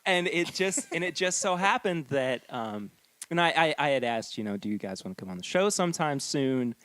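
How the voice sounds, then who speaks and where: Enthusiastic podcast host. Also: male voice, on the high side, lively intonation, normal speed, a bit bright, no room sound. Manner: enthusiastic, chatty, many restarts. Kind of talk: casual podcast interview. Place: whiskey tasting room.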